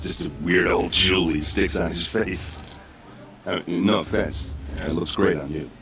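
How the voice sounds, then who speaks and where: An actor playing an easygoing, flirtatious soldier in a video game, with a teasing, breezy manner and hesitant pauses. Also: male voice, deep voice, steady tone, fairly bright tone. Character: easygoing flirtatious male traveling soldier, a charmer. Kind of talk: video game cutscene acting.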